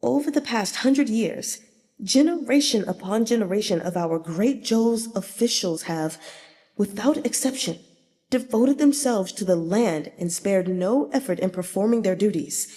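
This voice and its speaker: A frail elderly statesman played by a woman speaks with solemn dignity in an audiobook tale. The delivery is mellow and measured. A long hall echo trails the voice. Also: medium pitch, lightly acted. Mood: solemn, reflective.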